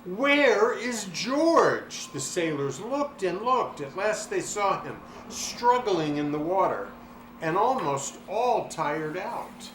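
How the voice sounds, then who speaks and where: Animated man reading a children's story, roomy echo. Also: sits high. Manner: dramatic, animated delivery with drawn-out words. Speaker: story reader. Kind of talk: expressive children's storybook narration. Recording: roomy echo, clear background noise.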